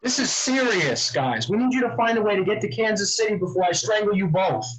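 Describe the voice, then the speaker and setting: A man's voice: sits high, expressive, brisk, a bit bright, faint music behind, rough recording. A man playing a serious, long-suffering grandson with a dry, exasperated manner at a script reading. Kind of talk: script table read.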